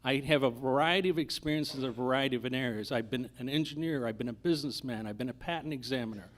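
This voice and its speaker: Man, middle-aged or older, medium pitch, judicial candidate, halting, earnest closing statement. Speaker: candidate for district judge.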